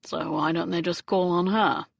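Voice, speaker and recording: A woman narrator voicing an elderly aristocratic dowager duchess who speaks in a lofty, brisk, upper-class manner. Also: lowish voice, fairly even intonation, gravelly, slightly rough, no room sound. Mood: decisive.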